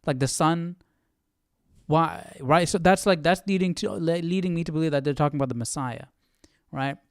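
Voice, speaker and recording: A man with a fairly high-pitched voice talks through scripture as the host of an informal live study. He thinks aloud haltingly, often posing questions, and the sound is dry with little room to it. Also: dry recording. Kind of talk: casual live Bible study commentary.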